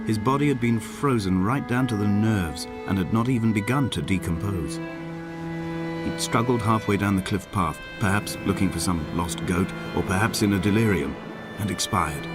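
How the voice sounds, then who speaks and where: An actor playing a lonely, injured castaway who narrates a game in grave, measured, poetic monologue. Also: male voice, on the low side, lightly acted. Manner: solemn, measured, literary phrasing. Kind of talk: scripted dramatic monologue, literary narration. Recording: loud music behind the voice. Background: music.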